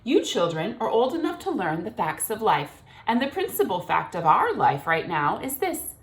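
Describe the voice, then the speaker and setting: A woman's voice: medium pitch, big pitch swings, moderate pace, pitched above the performer's own voice. A woman reading aloud as a long-winded, grandiose father swan in a children's story, speaking with theatrical grandeur. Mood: grandiloquent.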